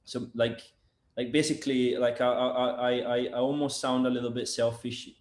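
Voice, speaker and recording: A man's voice: mid-range voice, little pitch movement, moderate pace. A man talking casually on a video call, rambling with many fillers and restarts. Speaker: sound engineer and product developer, guest. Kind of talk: casual interview chat. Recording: roomy echo.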